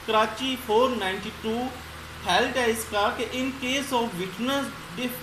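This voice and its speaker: Slow-paced woman lecturer in an echoey room. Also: fairly high pitch. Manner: switches between English and Hindi-Urdu, explaining each point. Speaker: law lecturer and channel host. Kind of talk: explanatory law lecture, reading legal points. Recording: roomy echo, clear background noise.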